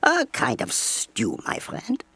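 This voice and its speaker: An actress playing a worldly, dreamy bohemian woman, speaking in a theatrical, measured, wistful way in an audio play. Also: medium pitch, huge pitch swings, sharp tone, husky, no echo, exaggerated voice. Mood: airy, amused. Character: worldly, well-travelled dreamy French bohemian woman, a studio's muse. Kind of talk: scripted audio play banter.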